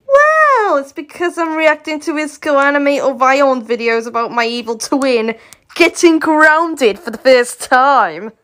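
Tts lady doing a chatty cartoon girl, high and bouncy. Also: animated, measured pace, fairly bright tone. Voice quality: synthetic text-to-speech voice.

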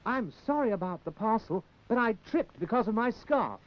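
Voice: mid-range voice, expressive, normal speed, slight background noise, lightly acted. A performer playing a hapless, apologetic young man, earnest and plaintive, in a 1960s children's puppet show. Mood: eager, apologetic. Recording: muffled 1960s TV soundtrack with music.

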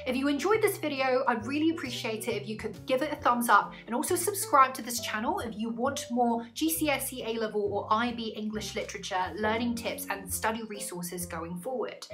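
Female tutor, twenties, slightly high voice, articulate and instructive scripted explainer over music. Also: fairly quick, few pauses. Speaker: English literature tutor. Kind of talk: scripted educational explanation to camera. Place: small indoor room, plain wall.

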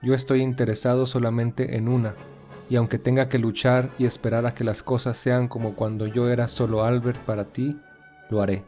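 A man playing a tender, earnest, determined young gentleman in love in a romantic audio drama. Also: medium pitch, flat delivery, moderate pace, faint music behind, close to an everyday voice. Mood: earnest, low.

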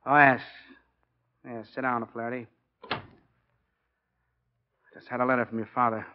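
A man's voice: mid-range voice, flat delivery, normal speed, clean tone, natural voice. An actor playing a stubborn, fatherly college football coach in his forties in a 1940s radio drama, speaking plainly and with measure. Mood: kindly, frank. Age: 40s. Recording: dull, band-limited 1940s radio recording.

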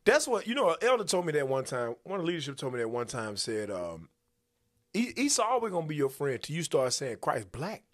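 A man, hosting a religious commentary show and teaching from the Bible in an animated, preacherly way. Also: on the high side, fairly quick. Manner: animated, preacherly, calls for verses. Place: small podcast studio. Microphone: boom-arm studio mic.

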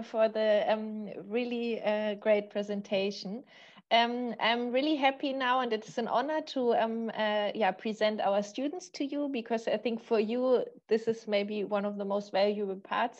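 A woman's voice: medium pitch, darkish tone, dull audio. A woman study advisor moderating an online info session, polite and a bit hesitant. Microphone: video call.

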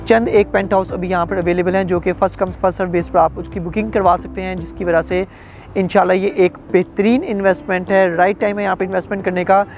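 A man's voice: high-pitched, little pitch movement, fast, faint music behind. Persuasive young Pakistani salesman outdoors. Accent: Pakistani Urdu. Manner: confident, persuasive salesman tone with frequent filler phrases. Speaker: real estate agent. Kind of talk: promotional to-camera sales pitch. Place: outdoors at a construction site.

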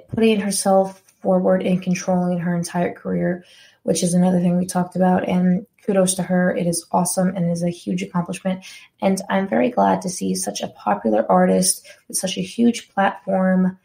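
A young woman hosts a solo music podcast in a chatty, eager way, stopping to correct herself as she goes. Her voice sits at a middling pitch with a mellow, dark tone and a noticeable gravelly fry. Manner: chatty, enthusiastic, self-correcting. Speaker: podcast host. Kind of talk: casual solo podcast talk.

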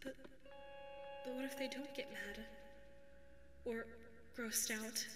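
A woman's voice: a little high, fairly even intonation, takes it slowly. A woman voicing a sweet, anxious female dog secretary with a crush, speaking hesitantly in an ASMR roleplay. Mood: hesitant wondering. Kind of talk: ASMR audio roleplay monologue. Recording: echoey reverberant sound with background music. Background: music.

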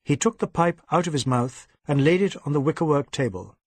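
Expressive male audiobook narrator, slightly husky. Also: on the high side, a little fast, no room sound. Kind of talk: scripted literary narration.

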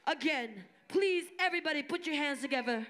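A woman's voice: sits high, a little fast. A woman from Singapore, an opening MC and rapper, hyping a live crowd on a club stage through a handheld mic. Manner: energetic, call-and-response with the audience. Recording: live concert PA with loud music. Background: loud music.

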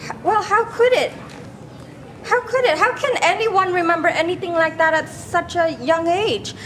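A woman's voice: very high-pitched, normal speed, a bit bright. A woman with as her playing a bitter, scolding refugee mother in a staged play reading, emphatic and exasperated. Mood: insistent. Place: large glass-walled hall, on stage. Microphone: handheld microphone through PA speaker. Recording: noisy live stage PA recording.

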